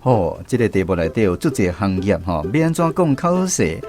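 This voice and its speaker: A man's voice: fairly low pitch, big pitch swings, a little fast, a little mellow, faint music behind. A man with a Taiwanese accent, hosting a language quiz segment on the radio. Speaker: radio show host.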